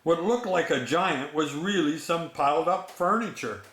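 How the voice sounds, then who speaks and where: A man telling a bedtime story in an unhurried, expressive way, with chatty asides to young listeners. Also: on the high side. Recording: echoey room.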